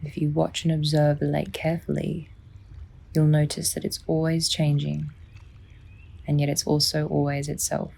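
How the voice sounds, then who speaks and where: Soft, breathy, husky woman guiding meditation. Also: low voice, monotone. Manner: soothing, gentle, unhurried, with long pauses. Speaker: psychologist guiding a sleep meditation. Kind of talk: guided sleep meditation, scripted narration.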